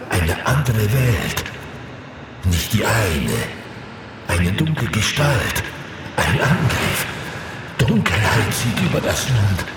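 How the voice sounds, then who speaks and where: A man playing a mysterious seer who recites prophecies solemnly and cryptically in halting phrases, in a fantasy audio drama. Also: medium pitch, very echoey, far from the mic, heavy background noise.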